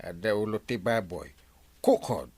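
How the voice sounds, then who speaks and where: A man's voice: lowish voice, animated, unhurried, darkish tone, husky, no echo. A man with an Ilocano Filipino accent playing a grumbling village captain in an audio comedy, exclaiming and complaining. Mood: questioning. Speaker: lead actor in comedy drama.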